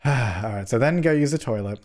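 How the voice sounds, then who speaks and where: Young guy w a bit of a cold, slightly hoarse, bouncy up-and-down voice, headset mic, chatting thru a life sim game. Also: mid-range voice, lively intonation, normal speed, darkish tone. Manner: chatty, jokey, scolds his game characters. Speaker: gaming YouTuber. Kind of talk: casual let's-play commentary.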